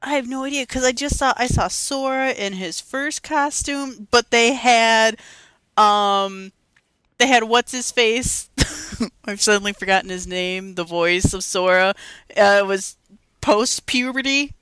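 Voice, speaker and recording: A young woman co-hosting a casual gaming podcast, telling stories in a giggly, animated way. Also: on the high side, unhurried, clean tone, no echo. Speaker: podcast co-host. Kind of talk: casual chat about video games.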